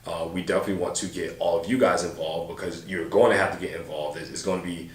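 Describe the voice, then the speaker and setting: A deep-voiced man chats in a loose, slangy podcast style, full of fillers and asides. He sits a little back from the mic in a roomy-sounding space. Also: steady tone, fast. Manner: laid-back, slangy, rambling with many fillers. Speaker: podcast co-host. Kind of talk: casual chat. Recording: roomy, a bit far from mic.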